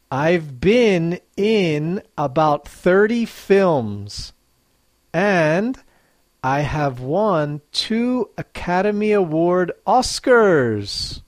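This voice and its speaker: A teacher running a guessing quiz for learners, reading each clue slowly and clearly, then repeating it. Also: medium pitch, dry recording. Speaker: English teacher. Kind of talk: slow quiz dictation for learners.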